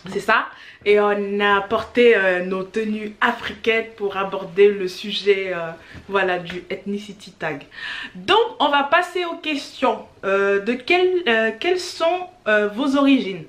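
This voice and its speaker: A woman's voice: mid-range voice, lively intonation, a little slow, a bit bright. Giggly chatty young woman vlogger. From France, Cameroonian family. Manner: giggly, chatty, frequent laughter. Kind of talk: casual couple vlog chat.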